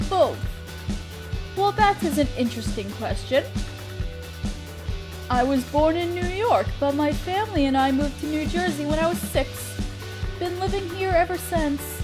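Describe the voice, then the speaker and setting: A woman playing a kindly, playful witch in a scripted roleplay audio drama, speaking in a theatrical, coy way with old-fashioned endearments. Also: high-pitched.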